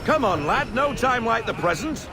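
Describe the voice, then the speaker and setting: An actor playing a jovial, salty middle-aged sea captain in a video game, with rollicking nautical talk. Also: male voice, very high voice, expressive, moderate pace. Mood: bellowing orders. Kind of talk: video game cutscene acting.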